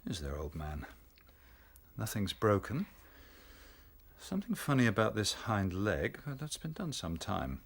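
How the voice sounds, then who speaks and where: Low-voiced, expressive English country doctor. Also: male voice, a little slow, breathy edge, heavily acted. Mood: kindly, matter-of-fact. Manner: hesitant, gentle, avuncular. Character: kindly educated country doctor, adult man, unhurried, gentle. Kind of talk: naturalistic radio drama acting.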